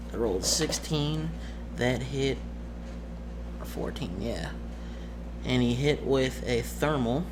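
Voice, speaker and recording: A man working as game master, casually narrating a tabletop game in a halting way, muttering through dice sums. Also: medium pitch. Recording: clearly noisy background.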